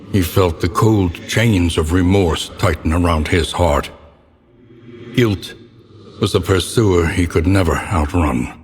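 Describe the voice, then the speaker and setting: A man playing a grave, ominous older narrator of gothic horror tales in a video game, with solemn, theatrical and deliberate storytelling. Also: low-pitched, in a large hall, a little hiss or hum. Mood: grim, ominous.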